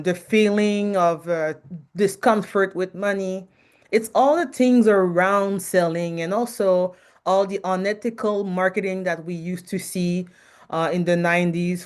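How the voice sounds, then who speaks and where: A loud, frank French-Canadian woman speaks in a fairly low voice as a podcast guest. She hesitates often, searching for words with ums. She talks openly about her work, and her speech has the plain feel of an interview conversation. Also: clean tone. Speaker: podcast guest, marketing advisor.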